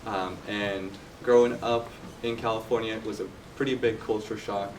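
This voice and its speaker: A young man from Turkey, telling his story in a relaxed, chatty way with many fillers at a live podcast event. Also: fairly low pitch, moderate pace. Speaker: guest entrepreneur. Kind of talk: casual storytelling interview. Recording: distant mic with faint background noise.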